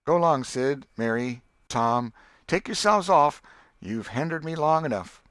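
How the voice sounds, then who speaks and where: Guy doing an old southern country auntie, pitched up, folksy n exclaiming, scolds and fusses, storybook style, dry sound. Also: animated, a little slow, a bit bright, no echo. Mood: scolding, pious. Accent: rural American South. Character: excitable, pious, scolding old country aunt, gullible and affectionate. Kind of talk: audiobook character voice.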